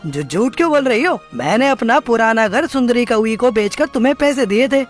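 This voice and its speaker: A woman acts a selfish male cartoon crow with a brusque, bossy manner. The delivery is lively and swooping, over faint music. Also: on the high side, fairly quick, voice pushed down, natural voice. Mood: accusing. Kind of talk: children's cartoon story acting.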